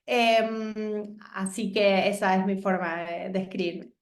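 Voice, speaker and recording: A woman's voice: mid-range voice, steady tone, slow, echoey room. A woman from Argentina, a guest speaker presenting her organization on a video call in a composed, explanatory way.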